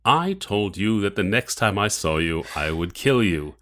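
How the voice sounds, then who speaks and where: Cold audio drama villain: formal, measured, mocking, with widely swinging intonation. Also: male voice, lowish voice, animated, fairly bright tone. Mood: cold menace. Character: menacing, cold, mocking villain, adult man.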